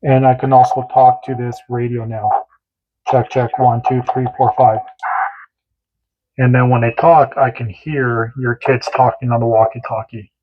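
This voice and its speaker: A man's voice: medium pitch, level tone, measured pace, no room sound, lo-fi sound. A man hosting a casual radio hobby tutorial, chatty and repetitive, doing radio checks as he demonstrates. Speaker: radio hobby channel host.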